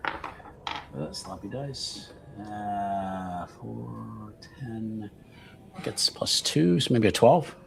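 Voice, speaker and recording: Gravelly-voiced man at a game table. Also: lowish voice, drawn-out words, a little hiss or hum. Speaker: tabletop roleplaying player. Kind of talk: casual table chat.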